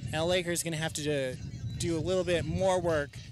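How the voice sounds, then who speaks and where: A young man doing relaxed, chatty play-by-play commentary at an outdoor soccer match, sometimes stretching his phrases out. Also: fairly high pitch, fairly quick, over music. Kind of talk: live sports commentary. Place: outdoors at a coastal soccer field.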